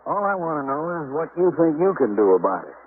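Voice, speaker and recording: A man playing a gruff, grumbling American police detective in a 1950s radio drama, exasperated and defensive. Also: fairly high pitch, sing-song, fast. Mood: weary, irritated. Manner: grumbling, hesitant when defensive. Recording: tinny old radio recording, faint noise.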